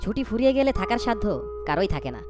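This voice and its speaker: A woman performs scripted story narration and dialogue expressively. Her medium-pitched voice moves in a lively way, with clear music playing behind it. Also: quick pace. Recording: loud background music.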